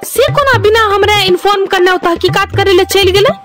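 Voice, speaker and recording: A woman's voice: extremely high pitch, brisk, loud music behind the voice. A woman voicing a bossy senior police officer in a cartoon comedy, commanding and scolding, with a Maithili accent. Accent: Maithili, from Mithila. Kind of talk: amateur cartoon comedy dialogue.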